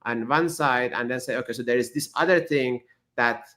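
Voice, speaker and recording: A man whose first language is Persian, a physicist guest explaining ideas in an interview, thoughtful and halting with many restarts. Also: mid-range voice, pushed and bright.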